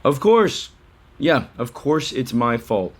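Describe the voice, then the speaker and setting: A man's voice: medium pitch, sing-song, slow pace. A man acting in an audio soap opera as a defensive, sarcastic younger brother who runs the family company and drinks too much. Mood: irritated, weary. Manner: irritable, sarcastic.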